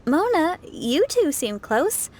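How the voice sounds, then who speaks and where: An easygoing young game heroine, high voice, lively intonation, casual, folksy, friendly. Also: female voice, slow, slight background noise, heavily acted. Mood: friendly, upbeat. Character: easygoing, modest young female camp cook. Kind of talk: video game voice acting.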